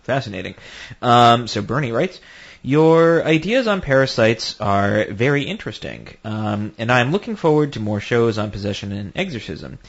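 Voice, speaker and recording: A man's voice: mid-range voice, expressive, normal speed, vocal fry, highs cut off. A man co-hosting a paranormal podcast in casual chat, joking lightly and reading out listener questions.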